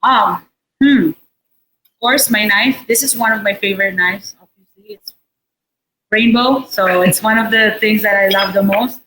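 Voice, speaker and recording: A woman chef with a Filipino accent giving a casual cooking demonstration in an informal, halting, explanatory way. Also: medium pitch, pushed and bright, poor audio. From Philippines. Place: indoor restaurant space behind a counter. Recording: noticeable background noise and room ambience.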